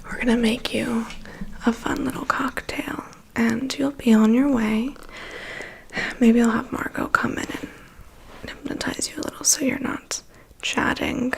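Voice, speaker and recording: A woman's voice: fairly high pitch, steady tone. Woman playing a whispering, gossipy vampire's assistant. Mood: matter-of-fact, caring. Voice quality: soft, breathy whisper. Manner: confiding, gossipy, unhurried. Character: chatty, gossipy, weary female assistant to a vampire. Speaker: ASMR performer. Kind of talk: ASMR personal attention roleplay.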